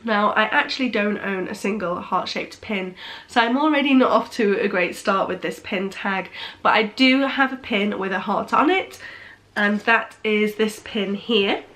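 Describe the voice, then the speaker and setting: A woman's voice: mid-range voice, measured pace, a bit bright. Chatty woman, nasal from a cold. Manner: chatty, hesitant with frequent restarts. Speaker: vlogger. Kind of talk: casual chat.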